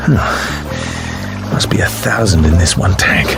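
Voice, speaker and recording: An actor playing a cool, determined secret agent in a radio drama, muttering in short clipped phrases. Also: male voice, low voice, slow pace, vocal fry, loud music behind the voice, full character voice. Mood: quietly intent.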